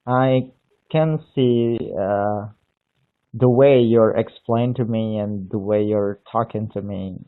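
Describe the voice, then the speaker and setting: A man from Indonesia, speaking English with an Indonesian accent in casual chat, halting and restarting often as he searches for words. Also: fairly low pitch, fairly even intonation, clean tone. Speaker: language learner on a live video call. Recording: tinny phone-like line.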